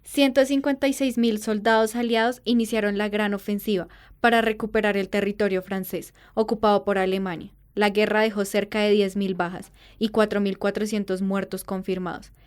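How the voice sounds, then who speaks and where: A woman acting as a solemn female radio reporter from the war years, with a clear, resonant, mid-pitched voice. She reads news in a formal, measured announcer style for an audio drama. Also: close to an everyday voice. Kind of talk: scripted radio news narration.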